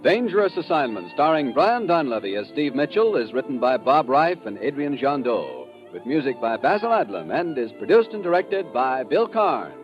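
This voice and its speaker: Male announcer, very lively intonation, polished 1950s radio style, music behind. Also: medium pitch, normal speed, hardly any pauses. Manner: polished, promotional. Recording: dull lo-fi old radio recording.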